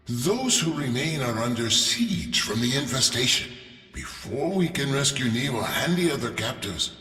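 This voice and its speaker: An actor playing a shrewd, courteous merchant leader in a video game, formal and persuasive, with deliberate pauses. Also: male voice, medium pitch, sharp tone. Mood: urgent appeal. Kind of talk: video game briefing acting. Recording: echoey hall, distant, faint music.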